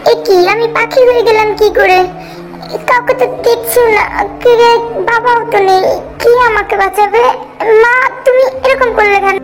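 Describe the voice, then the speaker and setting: A woman voicing a frightened, pleading young boy turned into a bird, in a cartoon, with loud music. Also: very high-pitched, steady tone, moderate pace, low-quality recording. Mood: distressed. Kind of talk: cartoon voice acting.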